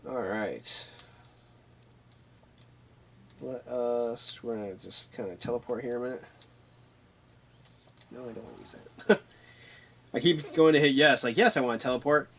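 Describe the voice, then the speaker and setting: A man with a mid-pitched voice talks casually through his gameplay. His delivery is dry and lightly sarcastic, like someone thinking aloud as he plays. There is noticeable background noise in the recording. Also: moderate pace, vocal fry, long pauses. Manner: wry, mildly sarcastic. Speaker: gamer narrating own playthrough.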